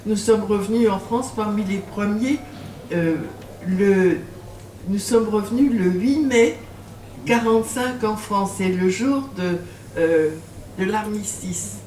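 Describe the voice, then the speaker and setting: A woman's voice: mid-range voice, slow, warm tone. Older woman, hesitant, in a roomy bookshop. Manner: hesitant, many fillers, laughs when telling stories. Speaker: author and guest. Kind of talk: bookshop talk with audience. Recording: roomy, distant mic, faint noise.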